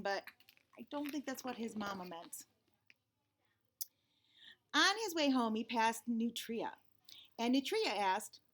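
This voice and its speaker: A woman with a high voice narrates a children's picture book. She reads expressively and slips into chatty asides, putting questions to her young listeners. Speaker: read-aloud storyteller for children.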